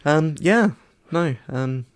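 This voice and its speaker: An Australian man chatting casually as a podcast co-host, with a dry, deadpan manner. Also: fairly high pitch, takes it slowly, smooth, dry recording. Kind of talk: casual chat.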